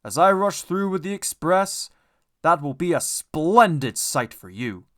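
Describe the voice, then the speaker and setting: A man voicing a big, proud, boastful express steam engine in a children's storybook, pompous, loud and emphatic. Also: on the high side, big pitch swings, a little slow, voice pushed up.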